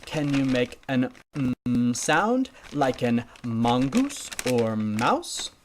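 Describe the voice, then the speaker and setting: A woman voicing a gracious, pompous male goat in a children's puppet show, with drawn-out words and bleats. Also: very deep voice, very animated, a little hiss or hum, exaggerated voice. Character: courtly, gracious old gentleman goat.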